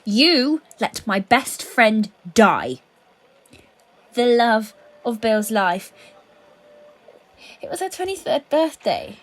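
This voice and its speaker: An actress playing a guilt-ridden, vengeful police colleague hiding a crime, emotional and halting, in an audio drama. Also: female voice, on the high side, big pitch swings, exaggerated voice. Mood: bitter, vengeful.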